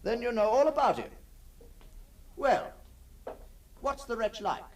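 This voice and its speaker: A man's voice: very high-pitched, lively intonation, takes it slowly, heavily acted. An actor playing a restless middle-aged man obsessed with his other self, speaking in intense, literary phrases in a ghost-story drama. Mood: excited, insistent. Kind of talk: radio ghost-story acting and first-person narration.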